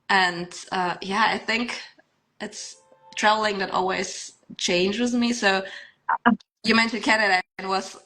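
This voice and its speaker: Bright-voiced young woman, chatty guest. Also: fairly low pitch, rough recording. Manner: chatty, laughs often, many fillers and restarts. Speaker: podcast guest. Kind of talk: casual podcast chat.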